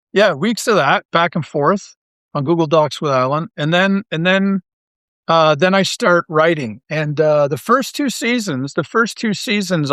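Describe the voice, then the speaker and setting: A man from Canada with a somewhat high voice, recorded very dry. He talks casually as a guest being interviewed, telling things in an animated, rambling way and often repeating a phrase before moving on. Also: no room sound. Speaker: interview guest, audio drama writer.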